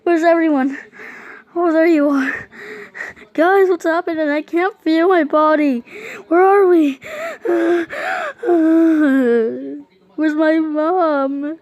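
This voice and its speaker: A woman playing a frightened, lost child in an amateur audio drama, whimpering and pleading in a halting way. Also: high-pitched, steady tone, a little mellow, no echo, highs cut off, pitched above the performer's own voice. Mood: frightened, confused.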